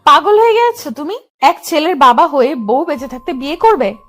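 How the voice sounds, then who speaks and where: Woman acting a wounded, high-voiced young wife. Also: expressive, moderate pace, fairly bright tone. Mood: pleading. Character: hurt, devoted young wife and mother, indignant. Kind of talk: dramatised audio love story. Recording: music under the voice.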